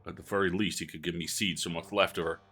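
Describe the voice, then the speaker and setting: A man's voice: low voice, fairly even intonation, fast talker, fairly bright tone, faint music behind, voice pushed down. A man voicing an aggrieved rural farmer in a game, plainspoken and folksy. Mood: wounded, bitter. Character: aggrieved rural farmer man mourning stolen prize pumpkin. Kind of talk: reading game dialogue aloud.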